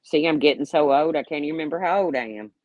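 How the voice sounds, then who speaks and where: A woman's voice: deep voice, fast talker, lo-fi sound. Blunt, sarcastic woman in her fifties. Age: 50s. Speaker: YouTube livestream host. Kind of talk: casual livestream rant, answering chat.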